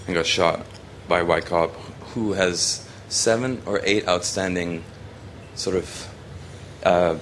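Young man from Hong Kong, deep low voice, loose rambling delivery over faint background noise. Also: slow pace, fairly bright tone. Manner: rambling, many ums and restarts. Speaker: student photographer presenting his work. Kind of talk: casual spoken presentation.

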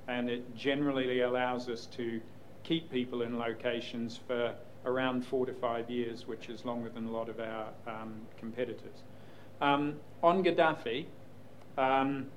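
Measured man fielding press questions. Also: medium pitch, a little hiss or hum, rough recording. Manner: measured, hesitant with many ums. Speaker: news executive answering questions. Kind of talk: Q&A panel talk.

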